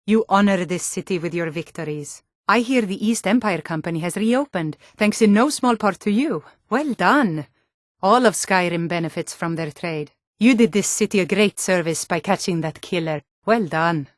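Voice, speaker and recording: A woman's voice: fairly low pitch, moderate pace, dry recording, natural voice. Woman acting a blunt female Nord guard, dry studio sound. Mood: solemn respect. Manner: blunt, guarded, plain-spoken. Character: stern, blunt female Nord city guard, fantasy warrior. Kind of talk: video game character voice lines.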